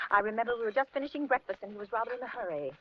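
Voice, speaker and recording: An actress playing a fussy, kindly, middle-aged landlady in a 1940s radio suspense drama, chatty and gently scolding. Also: a little high, expressive, fast, close to an everyday voice. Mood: reminiscing. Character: fussy, kindly older landlady recalling her story. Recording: muffled old radio recording, light noise.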